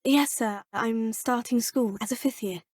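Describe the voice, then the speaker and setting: A woman's voice: a little high, unhurried, no room sound, studio quality. A polite young witch student. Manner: polite and formal, addressing teachers as sir and professor. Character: polite young female Hogwarts fifth-year student witch. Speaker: player character. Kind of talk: video game voice lines. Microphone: close studio mic.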